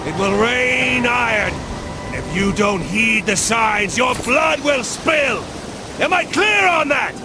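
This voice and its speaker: A man's voice: extremely high pitch, expressive, slow, full character voice. A man playing a fierce, zealous crusader commander, giving declamatory battle speeches to his troops in a video game. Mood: stern, threatening. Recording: loud battle noise behind the voice.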